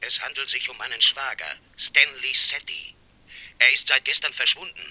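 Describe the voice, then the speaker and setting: A very bright, tense voice in a vintage radio play: an earnest, worried caller. He is insistent and emphatic, with an average pitch. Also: steady tone, normal speed, no echo, rough recording. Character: worried, insistent man reporting a missing relative. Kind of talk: 1960s German radio drama acting.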